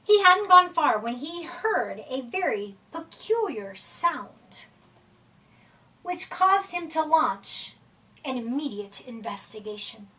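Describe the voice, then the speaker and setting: High-voiced, unhurried woman reading a storybook. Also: very animated, bright, forceful tone, far from the mic, low-quality recording. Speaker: storybook reader. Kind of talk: expressive read-aloud of a children's picture book.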